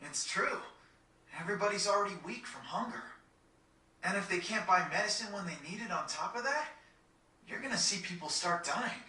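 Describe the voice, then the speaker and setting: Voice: medium pitch, animated, moderate pace, bright, forceful tone. An actor playing a casual, protective, take-charge teenage boy in a video game cutscene, frank and informal. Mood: urgent, frank. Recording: echoey, distant game audio.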